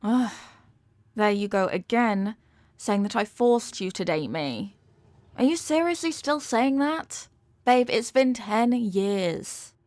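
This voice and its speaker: A woman's voice: fairly high pitch, animated, takes it slowly, darkish tone, no room sound. A woman acting a possessive, manipulative rich young girlfriend in an intimate audio roleplay, talking down in a coaxing, teasing way with veiled threats. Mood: exasperated, possessive.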